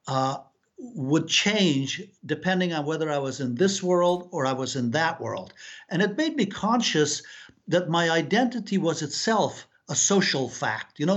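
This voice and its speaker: A middle-aged man from Afghanistan talks slowly through his ideas as an interview guest, pausing often and restarting his sentences. His voice sits a bit higher than most men's. The recording is dull and lo-fi. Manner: thoughtful, halting, many fillers and restarts. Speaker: author, guest. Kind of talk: reflective interview talk. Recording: dull lo-fi sound.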